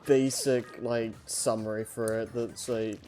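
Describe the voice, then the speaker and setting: Mellow-voiced man co-hosting, easy laughs. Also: mid-range voice, flat delivery, measured pace, faint music behind. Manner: agreeable, laughing, short replies. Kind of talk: casual livestream chat.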